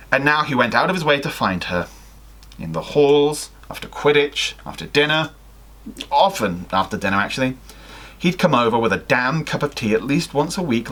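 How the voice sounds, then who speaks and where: Animated young man reading a story. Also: mid-range voice, husky. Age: young adult. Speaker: guest reader on a livestream. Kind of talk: expressive fan fiction story reading with casual asides. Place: small home room with sloped ceiling.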